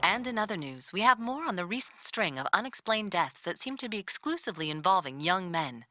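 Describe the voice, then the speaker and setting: A woman's voice: medium pitch, sing-song, brisk, pushed and bright. A woman playing a formal television news anchor reading scripted news in a video game. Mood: serious.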